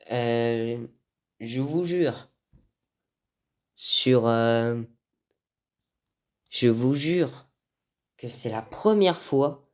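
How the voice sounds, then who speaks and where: A man's voice: mid-range voice, darkish tone. Hesitant Frenchman commentating a football game. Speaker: gaming video host.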